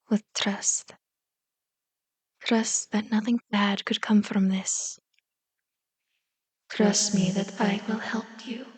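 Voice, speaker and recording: A woman playing a seductive, manipulative female vampire in an ASMR roleplay, speaking softly and coaxingly, drifting into breathy whispers close to the microphone. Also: a little high, no echo. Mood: soothing, hypnotic. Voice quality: soft, breathy whispers mixed with hushed voice. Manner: slow, soothing, coaxing, intimate. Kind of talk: ASMR roleplay, hypnotic soft speech and whispering. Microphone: close microphone, dry.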